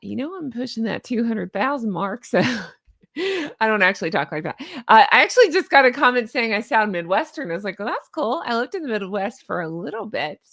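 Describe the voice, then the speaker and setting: A woman in her thirties with a Southern US accent hosts a commentary vlog, sarcastic and chatty. Her voice is slightly high and gravelly, with a lively pitch that swings up and down. She is close on a studio mic in a dry room. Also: brisk, no room sound. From American South. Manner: chatty, sarcastic, laughs often. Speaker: YouTube commentary host. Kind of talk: casual reaction vlog. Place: home studio with neon lights. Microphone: studio mic on boom arm.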